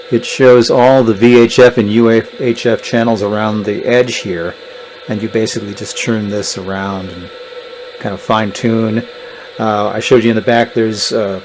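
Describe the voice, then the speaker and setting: Man casually demonstrating, with noisy background. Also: fairly low pitch. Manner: plainspoken, unscripted explaining. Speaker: gadget reviewer. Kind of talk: casual hands-on product walkthrough. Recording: clear background noise and clicks.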